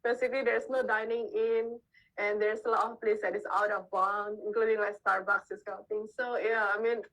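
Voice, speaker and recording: A woman's voice: medium pitch, little pitch movement, brisk, a bit bright, low-quality recording. A woman author whose first language is Indonesian, chatting casually and laughing often as she answers questions over a video call from a co-working space. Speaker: author guest.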